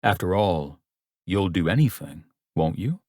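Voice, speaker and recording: A man's voice: sits low, animated, unhurried, a little mellow, no echo, studio quality. A man voicing a smug, rich older businessman in an audiobook, talking down to someone in a condescending, contemptuous, taunting way. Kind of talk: audiobook character dialogue.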